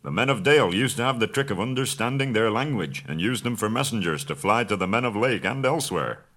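A man voicing a proud dwarf leader who speaks firmly and commandingly in a low voice. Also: expressive, a little fast, a bit bright. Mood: stern.

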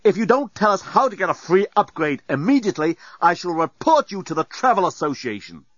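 An excitable man from a comic storybook, put on by a male narrator. He is theatrical, sarcastic and spluttering, and his pitch leaps around. The recording is dry and a bit dull. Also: brisk, no room sound, full character voice. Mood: indignant.

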